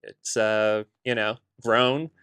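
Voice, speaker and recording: A middle-aged man from the Bahamas with a Bahamian accent, a guest in an interview who tells his story in a relaxed way with many fillers. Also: lowish voice, slow pace, clean tone. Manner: relaxed storytelling with frequent "uh" and "you know". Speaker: guest, concert promoter. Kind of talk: casual interview conversation.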